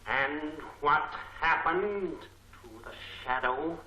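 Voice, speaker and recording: An actor playing a cold, imperious unseen crime lord in a 1940s film serial, with a commanding, deliberate delivery. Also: male voice, a little high, sharp tone, exaggerated voice. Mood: menacing. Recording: echoey, faintly noisy 1940s film soundtrack.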